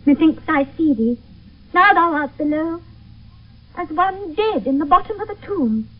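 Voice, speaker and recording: A woman's voice: very high voice, lively intonation, unhurried. An actress playing an impassioned, grieving young noblewoman in love, in classical Shakespearean radio drama. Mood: tender, foreboding. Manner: impassioned, declamatory verse delivery. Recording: tinny, narrow-band old radio broadcast.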